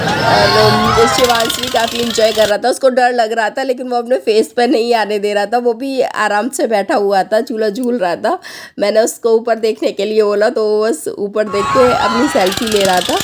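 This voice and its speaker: A woman in her thirties with a sore, husky throat, chattily narrating a family outing as a vlog voice-over and pausing often as she lists prices. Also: a little high, heavy background noise. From Lucknow, India. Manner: chatty, lists prices with frequent hesitant pauses. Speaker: family vlogger.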